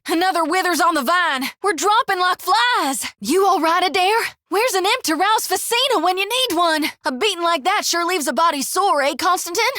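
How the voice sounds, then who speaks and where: A woman voicing a folksy, devout young priestess in a video game, with an earnest, expressive Southern US drawl. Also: extremely high pitch, moderate pace, few pauses, dry recording, studio quality, full character voice. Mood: brisk, concerned. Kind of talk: video game character voice lines.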